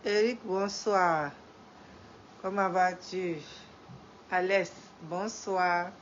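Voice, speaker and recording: A middle-aged woman speaks slowly and in a fairly low voice, congested from a head cold. She repeats her points over and over, building into emphatic preaching. The stream audio is dull and lo-fi, with faint ambience behind her. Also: fairly even intonation, slight background noise. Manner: repeats phrases, rising emphatic when preaching. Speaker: live streamer greeting viewers. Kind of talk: slow moralizing live-stream talk.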